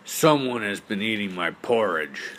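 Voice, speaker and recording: A man voicing a great big, indignant papa bear in a bedtime storybook, loudly complaining. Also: on the low side, expressive, a little slow, slight background noise. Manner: big, loud, shouting complaint.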